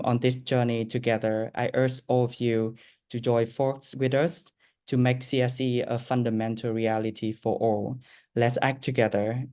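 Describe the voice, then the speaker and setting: A man reading formal opening remarks at a project launch in a steady, formal way. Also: medium pitch. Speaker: project lead partner speaker.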